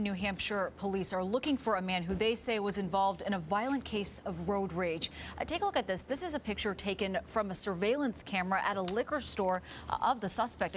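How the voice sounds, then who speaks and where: A woman's voice: medium pitch, a little hiss or hum. A woman with an American accent presenting morning news as a television anchor.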